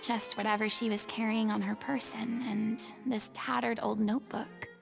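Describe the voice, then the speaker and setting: A woman voicing a game character who investigates a case, speaking in a measured, thoughtful way and softly reading out clues. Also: a little high, little pitch movement, vocal fry. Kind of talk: video game voice acting. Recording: game audio with long reverb and music.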